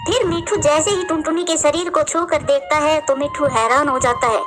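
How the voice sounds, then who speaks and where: A woman's voice: sits high, fairly quick, over music. A woman narrating a cartoon story in storybook style.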